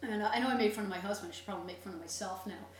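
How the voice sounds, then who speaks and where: A woman's voice: mid-range voice, rapid-fire. Chatty middle-aged woman in a very echoey room. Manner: chatty storyteller who explains things at length. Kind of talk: casual chat. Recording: echoey, distant mic, faint noise and hum.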